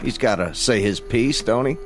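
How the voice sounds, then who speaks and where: An actor playing a dutiful, weary small-town deputy in a western radio drama, plain-spoken and folksy. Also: male voice, mid-range voice, normal speed, music in the background, close to an everyday voice. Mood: cautioning.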